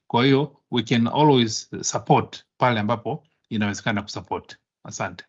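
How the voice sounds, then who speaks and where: A man's voice: mid-range voice, moderate pace, husky, lo-fi sound. A man hosting an online embassy meeting, switching between Swahili and English with many fillers. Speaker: embassy host and moderator.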